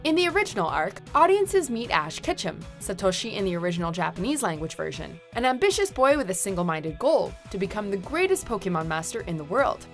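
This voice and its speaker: Female countdown narrator, upbeat scripted read with lively, swinging intonation over music. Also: mid-range voice, fairly quick, hardly any pauses. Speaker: narrator of a countdown list video.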